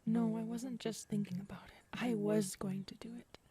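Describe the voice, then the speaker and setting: Soft, mellow actress as a hesitant science-fiction woman. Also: medium pitch, fairly even intonation, husky. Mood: confessional. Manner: soft-spoken, hesitant, halting. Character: gentle, shaken alien-abduction specialist woman, grieving and confiding. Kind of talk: ASMR audio roleplay acting.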